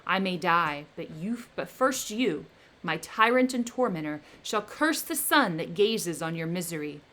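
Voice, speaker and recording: A woman reading aloud the lines of a lonely, vengeful male creature, declaiming them loudly and forcefully. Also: mid-range voice, expressive, moderate pace.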